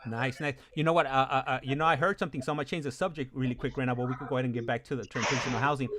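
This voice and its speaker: A man's voice: mid-range voice, fairly even intonation, racing. A man hosting a casual interview, stammering and repeating words as he works his way into each question. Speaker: host of a live call-in stream. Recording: very noisy audio with clicks.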